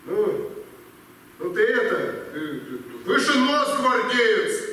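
A man's voice: high-pitched, sing-song, takes it slowly, sharp tone, a little hiss or hum, heavily acted. A man playing a boisterous, hearty army officer in an amateur audio drama, declaiming and exclaiming with stammered repeats. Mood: awkward cheer. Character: boisterous, hearty male army officer cheering a wounded comrade. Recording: echoey hall, far from mic.

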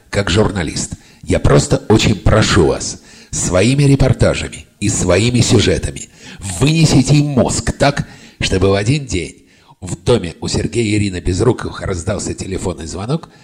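A man speaks in a low, husky voice at a press conference. He makes a pointed, emphatic appeal to fellow journalists in rhetorical phrases.

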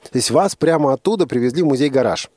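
Guy hosting a live radio interview, lively pitch, encouraging short questions, very dry sound. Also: fast, no echo. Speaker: radio host.

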